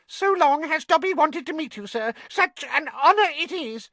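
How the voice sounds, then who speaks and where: A reader voicing a small male house elf in a storybook, high-pitched and squeaky, earnest, deferential and easily distraught. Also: animated, moderate pace, fairly bright tone, no echo. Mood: awed. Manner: deferential, speaks of self in third person.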